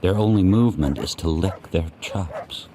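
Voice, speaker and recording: An actor playing a grim, watchful sled dog who narrates his harsh life in spare, deliberate sentences for a radio play. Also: sits low, lightly acted. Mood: grim, intense. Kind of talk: first-person dramatic narration in a radio play. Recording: faint background noise.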